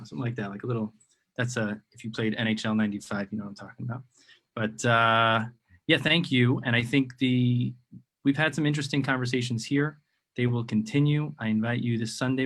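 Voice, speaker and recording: A man hosting a live-stream interview, enthusiastic and rambling, with many ums and uhs. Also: mid-range voice. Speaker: host of a live video interview show.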